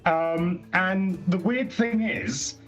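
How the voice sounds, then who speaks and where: A man's voice: high-pitched, drawn-out words, fairly bright tone, muffled recording. A man chatting casually over a voice call at home, picked up by a console's built-in microphone from across the room; his voice carries and he often corrects himself mid-sentence. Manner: chatty, self-correcting, says "don't get me wrong" often. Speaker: co-host testing a console feature. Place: home, moving between rooms. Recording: distant voice over game chat with music.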